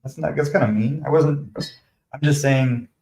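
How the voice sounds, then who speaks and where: A man's voice: mid-range voice, fairly even intonation, normal speed, a little mellow, reverberant. Canadian man chatting on a livestream. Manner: chatty, defensive, talks to viewers by name. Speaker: live stream host. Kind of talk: casual live commentary, replying to chat.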